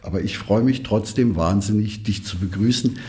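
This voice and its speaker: Mellow-voiced man, tentative interviewer. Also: on the low side, normal speed. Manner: tentative, hesitant phrasing. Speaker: podcast host, musician. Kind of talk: conversational interview.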